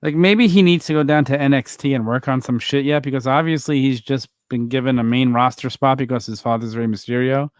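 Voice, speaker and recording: A man's voice: mid-range voice, steady tone, brisk, hardly any pauses, clean tone, dry recording, studio quality. A man co-hosting a wrestling podcast, rambling and teasing in casual chat.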